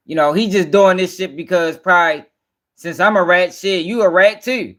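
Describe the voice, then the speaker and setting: A man's voice: sits high, moderate pace, a bit bright. A man hosting a casual livestream, commenting bluntly in slang with swearing and repeating himself for emphasis. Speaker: host.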